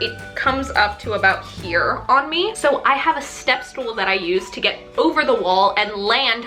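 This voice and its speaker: A young adult woman telling stories in a casual vlog, chatty, animated and self-deprecating. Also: mid-range voice. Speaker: vlogger and stage actress.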